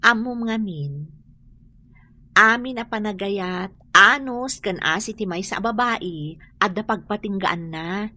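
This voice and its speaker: A woman's voice: mid-range voice, measured pace, pauses often, bright, forceful tone. An actress playing a blunt woman confidante in an Ilocano radio drama, chiding and emphatic as she gives advice. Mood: admonishing.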